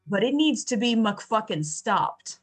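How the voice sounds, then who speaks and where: A woman playing a tabletop roleplaying game, improvising narration in deliberate broken phrases and chatting casually at the table. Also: on the high side, animated, soft background music.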